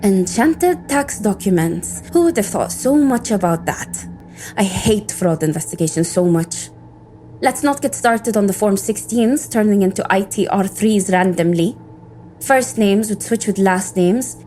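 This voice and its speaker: An actress playing an irritable, sarcastic cybersecurity operative in an audio drama, with a dry, cynical way of talking. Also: female voice, medium pitch, animated, a little slow, dark tone, a little hiss or hum, lightly acted. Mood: irritated.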